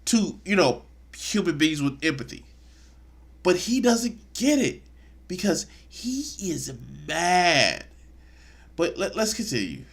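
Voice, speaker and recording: A man's voice: high-pitched, big pitch swings, takes it slowly, gravelly. A man streaming a reaction video, talking with indignant, profane sarcasm. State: congested. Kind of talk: casual reaction commentary.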